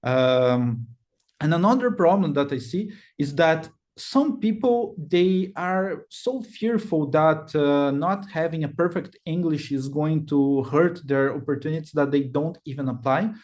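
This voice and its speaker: A man's voice: fairly high pitch, a little slow, darkish tone. A man in his thirties with a Brazilian accent, giving a casual webinar talk with many fillers and restarts. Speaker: webinar speaker. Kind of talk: casual presentation.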